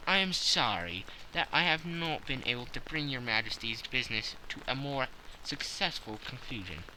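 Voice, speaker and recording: A man's voice: mid-range voice, expressive, moderate pace, sharp tone, slight background noise, voice pushed down, low-quality recording. A man voicing a cold, reserved detective who speaks in a cool, clipped way.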